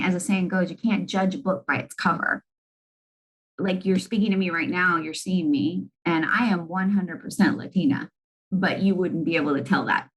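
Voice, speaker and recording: A middle-aged woman executive from Argentina talks through her experiences in a candid interview. She sounds earnest and reflective, often pausing on "you know". Her voice sits a little low. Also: a little hiss or hum, low-quality recording. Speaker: business executive guest.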